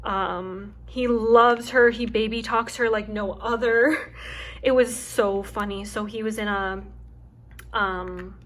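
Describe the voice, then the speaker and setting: A young woman with a soft, calming voice chatting casually and rambling with lots of fillers as she hosts a craft video at home. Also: medium pitch, slow. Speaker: craft channel host. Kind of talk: casual chat while chopping wax. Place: home with her dog nearby.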